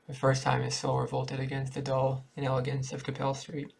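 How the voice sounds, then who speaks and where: A man reading a literary short story aloud in a halting way, sometimes stumbling over words. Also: medium pitch, completely flat delivery, gravelly, a little hiss or hum. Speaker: amateur story reader.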